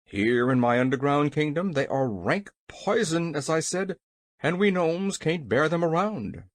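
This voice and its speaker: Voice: medium pitch, expressive, unhurried, no room sound, lightly acted. A narrator voicing a pompous, tyrannical little gnome king in a storybook, roaring and exclaiming. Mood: nervous. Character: blustering, excitable little male gnome king, tyrannical villain.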